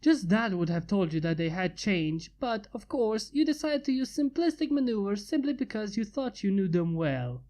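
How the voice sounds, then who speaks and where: A woman's voice: mid-range voice, big pitch swings, moderate pace, few pauses, mellow, lightly acted. A woman voicing a smug male inventor, plainly in her own voice. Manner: smug, mocking.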